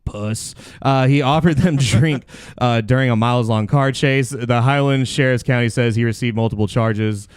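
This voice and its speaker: A man's voice: mid-range voice, normal speed, clean tone, dry recording. A man hosting a casual chat, riffing in slangy language and laughing often as he talks. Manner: laughs often while talking; slangy, says dude and like a lot. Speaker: podcast host.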